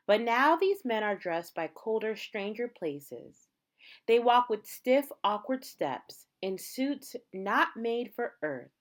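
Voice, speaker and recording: A woman reading a picture book aloud like a teacher, in measured, dramatic phrases with emphasized words. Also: mid-range voice. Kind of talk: read-aloud storytelling.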